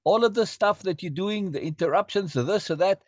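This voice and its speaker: A man giving blunt, emphatic advice to a friend in casual conversation, slowing down to press his points. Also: high voice, no room sound. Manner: emphatic, lecturing advice, slow for stress.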